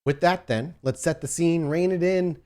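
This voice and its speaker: A man's voice: fairly high pitch, normal speed, a little mellow. A man hosting a tabletop game podcast as game master, chatting casually through announcements.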